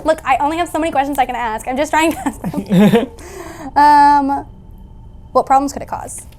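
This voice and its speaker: High-voiced woman, casual game player. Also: normal speed, a little mellow, slight background noise. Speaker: player in a tabletop role-playing game. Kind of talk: casual table chat, partly in character. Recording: music playing behind the voice. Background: background music.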